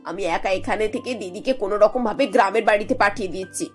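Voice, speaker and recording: A woman voicing a put-upon, quietly scheming older mother-in-law in a cartoon, grumbling in a confiding way. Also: mid-range voice, quick pace, soft background music, lightly acted. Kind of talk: animated cartoon dialogue.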